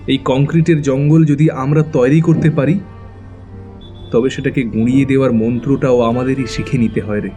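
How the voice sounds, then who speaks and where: An actor playing a coolly menacing young avenger, deliberate and mock-polite, in an audio thriller. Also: male voice, medium pitch, a little mellow, lightly acted. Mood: quiet triumph. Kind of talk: dramatic audio thriller acting.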